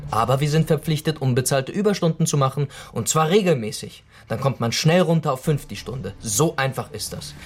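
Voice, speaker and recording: An actor playing an embittered young delivery worker in a radio drama, sarcastic, provocative and colloquial in a naturalistic way. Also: male voice, mid-range voice, animated, brisk, hardly any pauses, lightly acted. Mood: bitter, angry. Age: young adult.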